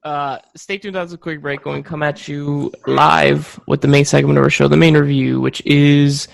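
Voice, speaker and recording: Gravelly man hosting a podcast, dry mic. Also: fairly high pitch, flat delivery, normal speed, dry recording. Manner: playful, teasing. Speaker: podcast host. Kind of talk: casual chat.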